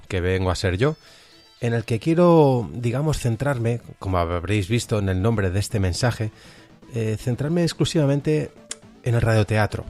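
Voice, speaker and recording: A man with a Spain Spanish accent presenting a podcast in an explanatory, measured way. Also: on the low side, a little mellow. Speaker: podcast host. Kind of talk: podcast presentation, semi-scripted. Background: music.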